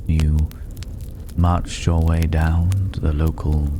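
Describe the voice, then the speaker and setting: Man acting a very deep, cultured, mysterious stranger. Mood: curious. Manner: measured, pausing, philosophical, lapsing into breathy whispers. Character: mysterious, cultured, solitary male stranger living among dragon's hoard. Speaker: ASMR roleplay voice performer. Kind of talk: ASMR fantasy roleplay. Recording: clear steady background noise.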